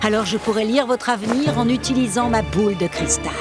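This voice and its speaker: A woman's voice: fairly high pitch, fairly quick, lightly acted. A woman playing a cunning, menacing old sorceress in a French cartoon, taunting and curt. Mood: slyly inviting. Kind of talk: French animated series voice acting. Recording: loud music behind.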